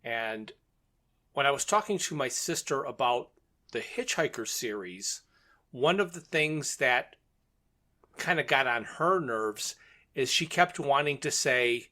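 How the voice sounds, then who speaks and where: A man's voice: mid-range voice, lively intonation, measured pace, pushed and bright. A man co-hosting a podcast, casually discussing and retelling a story in a conversational way. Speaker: podcast co-host.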